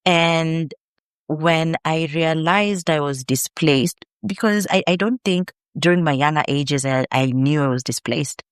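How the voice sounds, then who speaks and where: A woman with a Kenyan accent, a podcast guest and researcher, telling her story in a measured, earnest way. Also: sits low, clean tone, no echo, studio quality. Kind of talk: reflective interview answers.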